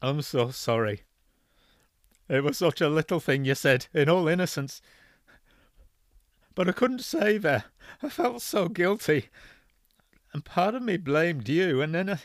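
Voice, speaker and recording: A man's voice: on the high side, no echo, clean studio sound, pitched above the performer's own voice. A man voicing an older father who breaks down crying on the phone, speaking in a faltering, broken way. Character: grieving older father, guilt-ridden, sobbing on the phone.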